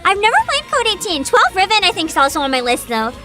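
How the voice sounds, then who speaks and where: A woman with a high, bright voice hosts a casual livestream. Her pitch moves around a lot as she chats and reads aloud with bubbly enthusiasm. Soft music plays behind her. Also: very animated, quick pace. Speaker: virtual streamer host. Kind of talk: casual livestream chat, partly reading aloud.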